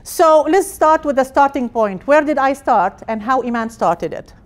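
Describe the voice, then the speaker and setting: A middle-aged woman from Palestine, a hospital director, giving an energetic personal talk in a conference room with phrasing. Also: sits high, moderate pace. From Jerusalem, Palestine. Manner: energetic, gesturing while speaking, non-native phrasing. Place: conference room with projected slides.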